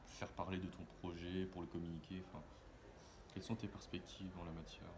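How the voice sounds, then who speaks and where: Low-voiced male interviewer, halting questions. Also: level tone, noisy background. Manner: friendly, halting questions with restarts. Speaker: interviewer, host.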